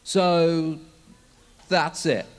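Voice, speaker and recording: A man's voice: on the high side, fairly even intonation, drawn-out words. A man voicing a flustered cartoon adventurer who stammers, repeats himself and questions everything. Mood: puzzled. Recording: loud music behind.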